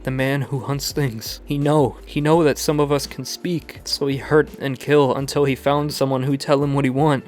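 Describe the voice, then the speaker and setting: A man voicing a small, frightened underground creature who speaks in broken, halting sentences. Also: medium pitch, fairly even intonation, a little mellow, faint music behind, voice pushed up, close to an everyday voice.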